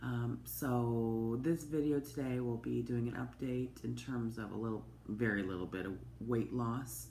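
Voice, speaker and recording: A woman, hoarse from a cold, giving a chatty, open personal update to camera with plenty of ums and asides. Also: very deep voice, fairly even intonation, unhurried, few pauses, mellow. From Canada. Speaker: vlogger. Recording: echoey room with faint noise.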